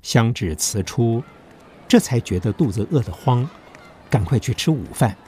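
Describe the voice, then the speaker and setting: A man's voice: medium pitch, animated, moderate pace, dark tone, slight background noise. A man narrating a scripted historical drama as its storyteller. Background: background music.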